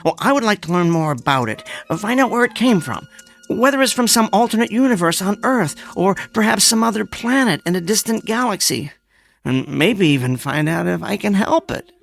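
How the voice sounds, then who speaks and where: A mild, eccentric man of science, played by an actor in a radio drama. He is hesitant and earnest, stammering as he explains, with lively swings of pitch and faint music behind him. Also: moderate pace, creaky, exaggerated voice. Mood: earnest, pleading. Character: eccentric, mild-mannered male amateur scientist, henpecked husband.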